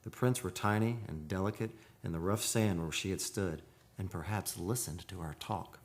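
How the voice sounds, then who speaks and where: A man playing a wistful, dreamy photographer narrating an eerie seaside tale in a measured, poetic radio drama style. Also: deep voice. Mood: hushed, eerie. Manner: measured, poetic, solemn delivery. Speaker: actor, narrator.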